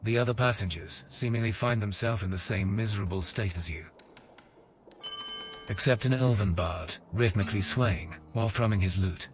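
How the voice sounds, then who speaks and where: An actor narrating a fantasy audio game as a calm, measured male storyteller. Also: on the low side, noisy background, natural voice. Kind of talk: scripted narration.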